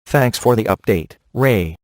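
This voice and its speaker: An actor playing a smug, wisecracking ghost hunter in a comic video game, delivering his lines in a sarcastic deadpan. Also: fairly low pitch, a little slow, dry recording, studio quality. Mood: sardonic.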